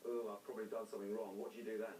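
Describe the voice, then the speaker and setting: A man's voice: lowish voice, level tone, rapid-fire, warm tone, echoey room, far from the mic, slight background noise. A man with a New Zealand accent interviewing in an informal, jokey way as a breakfast television host. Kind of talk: conversational broadcast interview.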